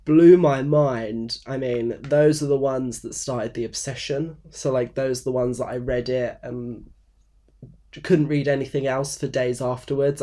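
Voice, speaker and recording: A man in his twenties, croaky and blocked up from a cold, rambling casually to camera about books with many fillers and restarts. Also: medium pitch, mellow. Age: 20s. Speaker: book vlogger. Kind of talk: casual unscripted talk to camera. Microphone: phone camera.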